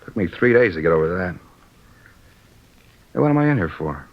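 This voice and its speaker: Groggy low-voiced hungover salesman, old radio. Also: very animated, a little fast, exaggerated voice. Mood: groggy, puzzled. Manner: slangy, groggy, rueful. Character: hungover man, sheepish salesman and war veteran under police questioning. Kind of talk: 1950s radio crime drama acting. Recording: dull lo-fi old radio recording.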